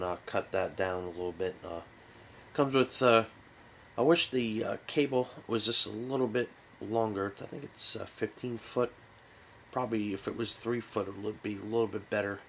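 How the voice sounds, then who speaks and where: Low-voiced man, halting and casual. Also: fairly bright tone, slight background noise. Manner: halting, rambling, unscripted delivery. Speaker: host of a hobby radio show. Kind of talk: casual product overview demonstration. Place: small bedroom, indoors.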